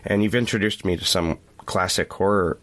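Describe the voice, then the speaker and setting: A man hosting a podcast, interviewing casually and somewhat haltingly as he works toward his questions. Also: low voice, fairly bright tone, dry recording.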